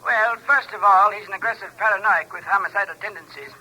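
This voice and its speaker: High, sharp-voiced psychiatrist on telephone. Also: male voice, quick pace, breathy edge, full character voice, poor audio. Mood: clinical, deliberate. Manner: hesitant, didactic, formal. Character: precise, scholarly male asylum psychiatrist. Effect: telephone filter. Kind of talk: 1950s radio drama acting. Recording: filtered phone voice, vintage radio recording.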